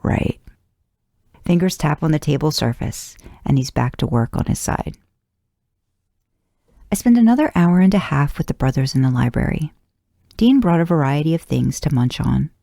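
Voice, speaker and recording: A woman's voice: deep voice, expressive, normal speed, vocal fry, warm tone, no echo, studio quality. A woman reading a first-person story aloud as narration. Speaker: podcast host reading fan fiction aloud.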